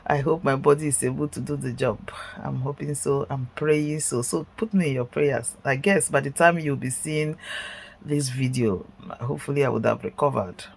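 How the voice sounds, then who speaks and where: Woman, middle-aged, sick and congested, gravelly, casual vlog from bed, hesitant fillers. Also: rumbling low, moderate pace. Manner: hesitant, many fillers, upbeat through the illness. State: sick with a cold, stuffy and chesty. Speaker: vlogger, nurse. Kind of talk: casual story-time vlog. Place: indoors, in bed at home.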